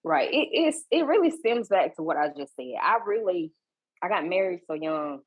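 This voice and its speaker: Husky woman in her thirties. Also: on the low side, normal speed, dry recording. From Georgia, US. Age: 30s. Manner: relaxed, repeats words and restarts often. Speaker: guest, fitness coach. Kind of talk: casual podcast interview.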